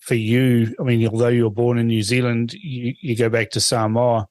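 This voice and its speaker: A man's voice: mid-range voice, flat delivery, moderate pace, a little mellow, no echo. A man from Fiji hosting a radio interview, asking long, chatty, rambling questions. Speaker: radio host.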